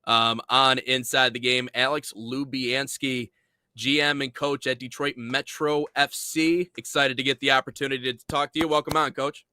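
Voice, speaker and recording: A man hosting a soccer podcast, welcoming a guest in a relaxed, loose way. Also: mid-range voice, normal speed, clean tone, no room sound. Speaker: podcast host. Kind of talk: podcast interview.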